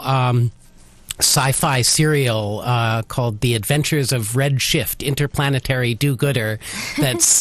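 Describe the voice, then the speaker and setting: Halting man in a radio interview. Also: mid-range voice. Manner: halting, piecemeal phrasing. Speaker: radio play director, interview guest. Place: college radio station.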